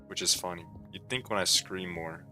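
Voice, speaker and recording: Tired low-voiced young man streaming. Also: fairly even intonation, normal speed, music in the background. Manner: laid-back, low and mumbly, lots of "like" fillers. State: tired, sore throat. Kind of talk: casual rambling stream talk.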